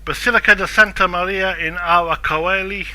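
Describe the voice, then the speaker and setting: A man's voice: high voice, steady tone, moderate pace, bright, forceful tone. A man casually commenting on a game walkthrough, chatty and self-deprecating. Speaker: gameplay walkthrough narrator.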